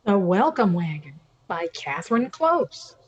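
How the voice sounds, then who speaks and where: An older woman reading scripted narration for a radio play, with a husky voice. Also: mid-range voice, sing-song, unhurried, muffled recording.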